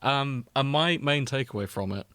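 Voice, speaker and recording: A man chats casually as a co-host, with a teasing edge to his delivery. His pitch sits in a middle range, and the sound is dry and close. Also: moderate pace, a bit bright, smooth, no echo. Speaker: podcast co-host. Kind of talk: casual chat.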